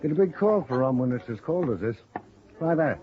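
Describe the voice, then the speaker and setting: An American actor playing an affable, folksy, talkative roadhouse bartender in a 1950s radio drama. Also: male voice, mid-range voice, expressive, brisk, natural voice. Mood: chatty, easygoing. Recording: dull, noisy vintage radio recording.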